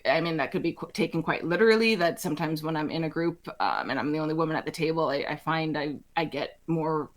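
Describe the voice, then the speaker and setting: A woman architect and firm head, talking in a relaxed interview, thoughtful and with plenty of restarts. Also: fast, few pauses. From Alaska. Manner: thoughtful, frequent restarts and fillers, laughs lightly; speaks with conviction in a lowered register. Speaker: architect and firm CEO, guest. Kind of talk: casual interview conversation.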